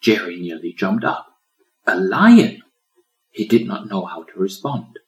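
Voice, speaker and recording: A man's voice: sits low, expressive, a little slow. A man reading a children's story as scripted audiobook narration. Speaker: author reading his audiobook.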